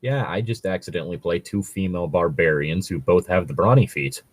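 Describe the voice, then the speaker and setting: A man with a fairly low voice talks in a close, dry recording. He rambles along casually and jokily as a co-host, swearing mildly as he goes. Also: a little fast, slightly rough, no echo. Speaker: co-host reading and discussing tabletop gaming stories. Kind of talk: casual chat.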